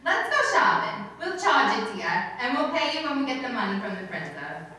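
A woman's voice: a little high, big pitch swings, brisk, bright, forceful tone. A woman playing a scatterbrained, cheerfully oblivious wife in an old-time radio comedy on stage. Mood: excited. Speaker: actor in a staged radio play. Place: stage in a hall before an audience. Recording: echoey hall with long reverb.

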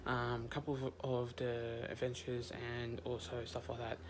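A man's voice: mid-range voice, completely flat delivery, measured pace, background noise. Young man rambling a vlog sign-off. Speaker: vlogger. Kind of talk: vlog outro to camera.

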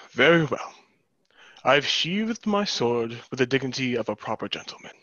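Man acting a brash young businessman, swooping pitch. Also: sing-song, a little slow, a bit bright, no echo. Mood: grudging. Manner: brash, indignant. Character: hot-headed, proud young American businessman, scornful of tricks. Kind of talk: theatrical play reading. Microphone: headset. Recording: dull headset video-call audio.

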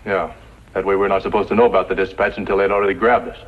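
A man plays a tough, impatient western outlaw. He fires off curt, slangy lines at a quick clip in 1950s radio style, on a dull recording with heavy noise. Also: deep voice, flat delivery, lightly acted. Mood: surly, impatient. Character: wanted Old West outlaw gunman, impatient and surly.

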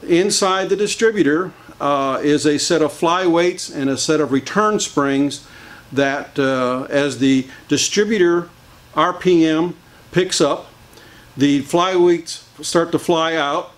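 Older man explaining boat engine repair. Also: fairly high pitch. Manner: plain-spoken, many uh fillers, hands-on demonstrating. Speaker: boat mechanic. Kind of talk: workshop how-to explanation. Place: large boat workshop indoors. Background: idling boat engine.

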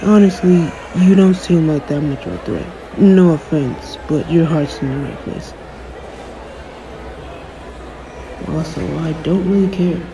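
A man's voice: a little high, normal speed, few pauses, noisy background, close to an everyday voice. A man playing a cocky, jaded young superhero in an audio roleplay, talking in a dry, sarcastic, aloof way. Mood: irritated, sarcastic. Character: jaded, cocky young male superhero, dismissive of his fan. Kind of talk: audio roleplay acting, one-sided conversation.

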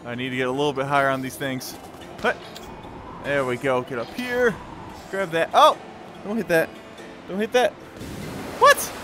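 A man giving excited live gameplay commentary in short, exclamatory bursts, talking to himself as he plays. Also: on the high side, normal speed, long pauses. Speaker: gamer playing and commenting. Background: game music.

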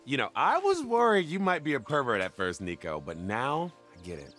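A man's voice: medium pitch, very animated, moderate pace, fairly bright tone. A man voicing a big, insecure toad fighter in a cartoon game, emotional, earnest and easily wounded. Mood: earnest, unsure. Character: big insecure male toad fighter, pushover who snaps. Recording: music behind the voice.

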